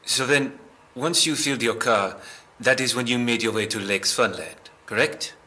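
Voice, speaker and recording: A man's voice: mid-range voice, moderate pace, pushed and bright, close to an everyday voice. A man playing a composed police interrogator, formal and businesslike, in an audio drama. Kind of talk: naturalistic audio drama acting.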